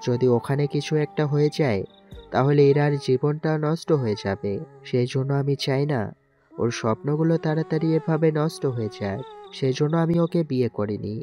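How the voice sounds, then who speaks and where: A man acts out a serious, duty-bound navy officer in an audio story. He speaks in a measured, reassuring way with steady authority, over a music bed. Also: mid-range voice, lightly acted. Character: composed, duty-bound navy mission commander and reserved fiancé. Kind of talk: Bengali audio story acting.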